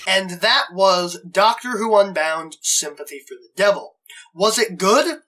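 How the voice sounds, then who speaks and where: A man's voice: sits high, expressive, measured pace, bright, forceful tone. A man hosting a review show, giving enthusiastic scripted commentary in a gushing, emphatic way.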